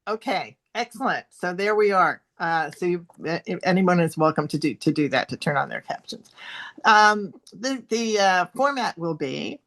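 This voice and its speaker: A woman interviewing a candidate for a political club on a video call, polite and halting with many fillers. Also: on the low side, lively intonation, fairly bright tone. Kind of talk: moderating an endorsement interview.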